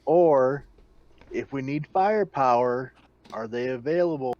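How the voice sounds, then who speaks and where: A man's voice: mid-range voice, a little slow, a little mellow, no echo, muffled recording. A man playing a tabletop game, talking casually in a practical, questioning manner.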